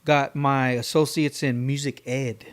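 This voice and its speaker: A man's voice: medium pitch, flat delivery, unhurried. A man in his thirties with a South Texas accent, chatting casually in informal, slangy speech full of "bro". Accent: South Texas American. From Texas, United States. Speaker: podcast guest, truck driver and classical guitarist. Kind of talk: casual chat.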